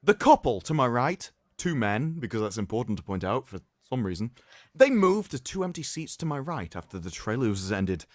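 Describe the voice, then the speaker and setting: A man hosting a livestream, reading aloud in a mocking, theatrical way with sarcastic asides and drawn-out emphasis. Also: medium pitch, big pitch swings, dry recording, clean studio sound. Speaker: livestream host. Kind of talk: mocking dramatic reading of an article with commentary.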